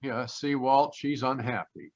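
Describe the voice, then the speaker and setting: A man's voice: mid-range voice, fairly even intonation, unhurried, close to an everyday voice. Breezy wisecracking private eye, played by a man, dull audio. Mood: businesslike, wry. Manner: breezy, teasing. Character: wisecracking, flirtatious male private detective, cool and unflappable. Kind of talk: old-time radio detective drama acting. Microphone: video call. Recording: dull video-call audio.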